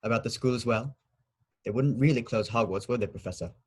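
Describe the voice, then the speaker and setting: A man in an amateur table read playing a polite, cold, calculating teenage schoolboy in a measured, formal way. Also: mid-range voice, breathy edge, close to an everyday voice. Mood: deferential, guarded.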